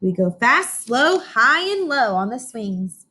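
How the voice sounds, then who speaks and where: Animated woman reading aloud to young children. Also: on the high side, takes it slowly, husky. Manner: expressive, questioning, addresses young listeners directly. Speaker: teacher reading a picture book aloud. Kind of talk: informational read-aloud lesson for young children.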